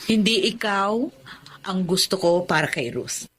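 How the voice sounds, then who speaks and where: A woman's voice: mid-range voice, expressive, a little slow, noisy background, lightly acted. An actress playing a firm, domineering middle-aged mother and company owner in a Filipino melodrama. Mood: cold, blunt. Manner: firm, imperious.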